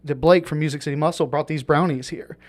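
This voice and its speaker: A man's voice: fairly high pitch, fairly even intonation, quick pace. A man hosting a podcast, talking in a rambling, casual way with lots of fillers. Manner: rambling, many fillers and restarts. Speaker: podcast host. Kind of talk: casual chat.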